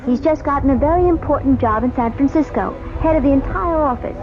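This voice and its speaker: An actress playing a defensive, newly married young wife in an old radio drama, guarded and quick to take offense. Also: female voice, high voice, highs cut off, music in the background, natural voice. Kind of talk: 1970s radio drama acting.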